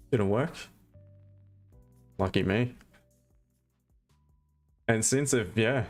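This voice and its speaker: A man's voice: on the low side, a little mellow. A man hosting a live trading stream, chatting casually and answering viewer questions as he explains his trades.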